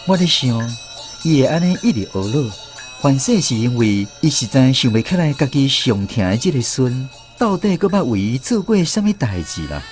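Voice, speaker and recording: A man's voice: mid-range voice, very animated, moderate pace, soft background music, lightly acted. A man with a Taiwanese accent playing a grown grandson who narrates memories of his grandfather in a reflective, tender radio drama style. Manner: storytelling, reflective. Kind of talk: scripted first-person radio drama narration.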